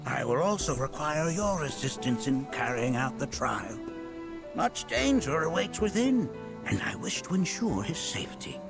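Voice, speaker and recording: An elderly game elder, guides a trial in formal, old-fashioned phrasing. His pitch sits fairly high and swings widely from phrase to phrase. Loud music plays beneath him, and his delivery is very unhurried. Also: sing-song, gravelly. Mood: solemn, instructing. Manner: formal, measured, archaic phrasing. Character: elderly male village elder, cryptic trial guide, wise and wry. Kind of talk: video game voice acting.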